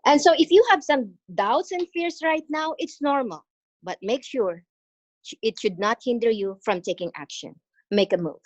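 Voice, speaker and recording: A woman from the Philippines with a Filipino accent, an exchange teacher giving an earnest, encouraging talk in an online meeting, pausing often. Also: a little high, lively intonation, lo-fi sound. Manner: earnest and encouraging, with frequent pauses and fillers. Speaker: exchange teacher sharing her experience. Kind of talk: motivational presentation in an online meeting. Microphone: video call.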